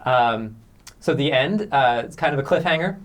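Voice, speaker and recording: A man's voice: mid-range voice, expressive, normal speed. A man hosting a studio interview, asking questions in a hesitant, roundabout way. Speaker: co-host interviewer.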